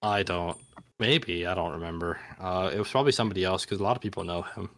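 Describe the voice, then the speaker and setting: Guy w a lowish voice chatting on a podcast, kinda hesitant and self deprecating, dry sound. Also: quick pace, fairly bright tone, no echo. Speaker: podcast guest. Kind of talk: casual interview chat.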